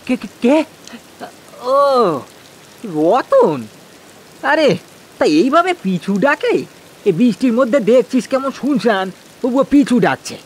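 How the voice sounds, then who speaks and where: A man's voice: very high-pitched, very animated, takes it slowly, pauses often, darkish tone, a little hiss or hum, heavily acted. A man voicing an anxious, stammering village farmer in a cartoon, with rustic speech. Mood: startled. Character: anxious, hard-working village farmer, timid husband. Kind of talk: cartoon voice acting.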